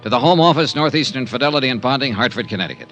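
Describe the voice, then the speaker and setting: An actor with an American accent playing a tough, wry insurance investigator in clipped 1950s radio detective style. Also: male voice, medium pitch, lively intonation, racing, fairly bright tone, soft background music, natural voice. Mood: dry narration.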